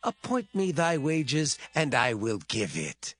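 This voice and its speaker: A man playing a wheedling biblical patriarch in a dramatised audio Bible, slow and courteous in delivery. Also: mid-range voice, huge pitch swings, fairly bright tone, no room sound, exaggerated voice. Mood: entreating. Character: Laban, wheedling biblical patriarch and master of flocks.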